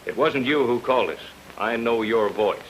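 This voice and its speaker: A man playing a gruff, suspicious police detective, curt and accusing, in an old-time radio noir. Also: mid-range voice, normal speed, lightly acted, low-quality recording. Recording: hissy old radio recording.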